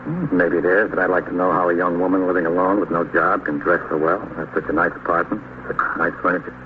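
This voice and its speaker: An actor playing a calm, persistent police lieutenant who questions in a matter-of-fact, clipped way, in 1950s radio drama style. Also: male voice, deep voice, fast, lightly acted. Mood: probing. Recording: tinny, noisy vintage radio recording.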